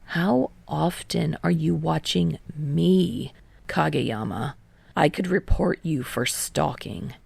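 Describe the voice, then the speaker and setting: A prickly, defensive teenage boy in an audiobook, played by a woman. The delivery is a dry, sarcastic drawl, low-pitched and very creaky, with snappish edges. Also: slow, a little mellow, no room sound, exaggerated voice.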